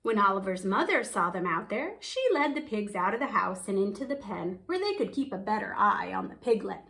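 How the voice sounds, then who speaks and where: A woman's voice: medium pitch, big pitch swings, a little fast, vocal fry, fairly bright tone. A woman reading a picture book aloud, telling the story expressively and stretching words for emphasis. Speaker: children's storybook reader.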